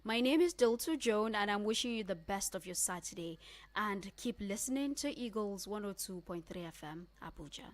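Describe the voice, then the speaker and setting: Woman giving a radio shout-out. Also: mid-range voice, expressive, moderate pace, a little hiss or hum. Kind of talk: radio station promo greeting.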